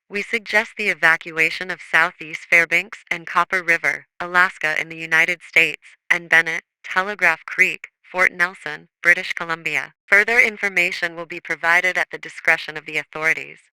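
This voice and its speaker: Man acting as government emergency broadcast announcer, grave, formal, measured, very sharp bright tone, dry close sound, scripted alert reading. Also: high-pitched, smooth, dry recording, exaggerated voice, low-quality recording.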